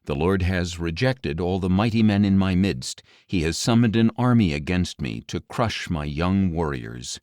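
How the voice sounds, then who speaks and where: A man reading scripture as an audiobook narrator in a solemn, grave, measured delivery with deliberate pauses. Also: deep voice, darkish tone, no room sound, studio quality.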